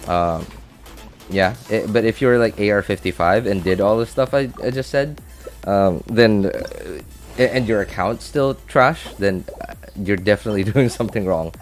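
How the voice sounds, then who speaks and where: A man's voice: lowish voice, normal speed, soft background music. A man hosting a live game stream, talking casually and thinking aloud as he answers viewers, often repeating his phrases.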